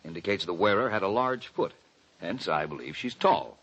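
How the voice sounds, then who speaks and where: A suave gentleman detective from an old radio drama, measured and dryly witty. The voice sits fairly low, with a slightly husky grain. His delivery swings with lively, animated inflection as he lays out his deductions. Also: lo-fi sound. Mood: deducing. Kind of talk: 1940s radio acting.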